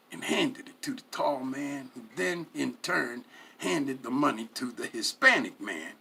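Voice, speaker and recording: Gravelly, husky man reading a story. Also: mid-range voice. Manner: animated, expressive, with emphatic swells and hushed drops. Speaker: story reader. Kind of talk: dramatic first-person storytelling, reading aloud.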